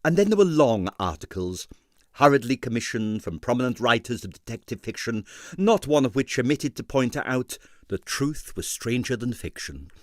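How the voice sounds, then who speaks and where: A man narrating a comic novel, expressive and wry, in a scripted storytelling style. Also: medium pitch, normal speed, no echo, studio quality. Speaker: audiobook narrator.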